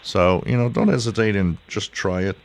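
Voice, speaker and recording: Very deep-voiced man explaining casually. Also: normal speed. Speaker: shortwave radio hobbyist presenting a tutorial. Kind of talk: casual explanatory screen-share tutorial.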